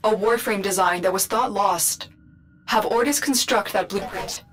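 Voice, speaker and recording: An actress playing a calm, focused female mission guide in a video game, in measured, clipped phrases. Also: medium pitch, background noise, natural voice. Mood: businesslike. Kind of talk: scripted game mission briefing.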